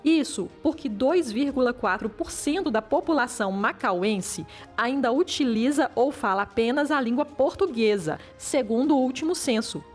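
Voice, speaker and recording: A woman teacher reading a formal, measured educational narration, heard as played-back video audio. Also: a little high, lively intonation, soft background music. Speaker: language teacher.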